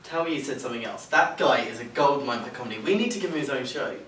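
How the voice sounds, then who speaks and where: A man acting a brusque, sardonic radio boss in a stage play, clipped and dismissive. His middle-pitched voice carries from a distance through an echoey room. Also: quick pace, fairly bright tone, lightly acted. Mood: mocking. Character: brusque, impatient, mocking male radio studio boss. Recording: echoey stage, distant mic, faint noise.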